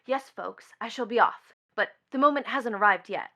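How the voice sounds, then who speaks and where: An actress playing a brazen, scornful young stepdaughter in a radio stage play, theatrical and mocking, with sudden swells and drops. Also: female voice, a little high, normal speed, pushed and bright. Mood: bitter, dramatic.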